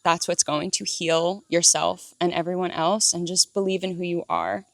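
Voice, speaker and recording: A woman's voice: lowish voice, steady tone, moderate pace, no echo, clean studio sound. A woman of twenty-eight giving encouraging advice to her younger self. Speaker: trip participant.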